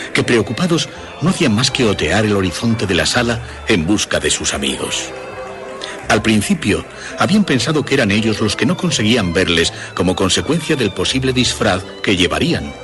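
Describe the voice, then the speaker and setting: Male storyteller, deep pitch, lively swings of intonation, suspenseful phrasing, music underneath. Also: normal speed. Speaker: narrator. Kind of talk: dramatic scripted horror storytelling.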